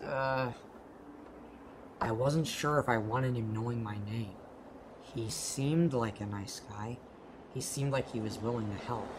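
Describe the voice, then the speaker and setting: A man's voice: mid-range voice, noisy background, lightly acted. A man playing a confused, wary young storyteller in an amateur radio play, hesitant and plain-spoken. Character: confused, wary young male storyteller stranded somewhere strange.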